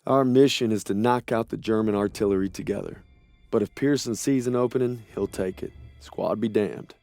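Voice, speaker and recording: An actor playing a wary soldier narrating the story of a war game in scripted voice-over. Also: male voice, on the low side, moderate pace, gravelly, a little mellow, dry recording, soft background music. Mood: ominous.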